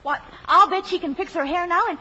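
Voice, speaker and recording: A woman's voice: very high-pitched, fairly quick, pushed and bright, exaggerated voice. An actress playing a perky, outspoken young girl, the kid sister in an old-time radio drama. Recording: muffled, noisy old radio broadcast.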